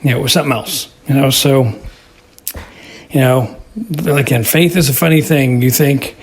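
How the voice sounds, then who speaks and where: American man, mid-pitched voice, listener commentary, casual, halting, thoughtful. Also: steady tone, husky. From Texas, United States. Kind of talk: casual spoken episode review.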